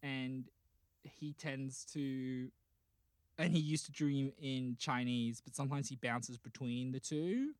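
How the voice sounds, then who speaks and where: An Australian co-host chats casually on a podcast, playful and dropping deadpan dark jokes. The voice sits at a middle pitch. It is recorded dry and close to the mic. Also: slow pace, smooth, dry recording. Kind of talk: casual chat.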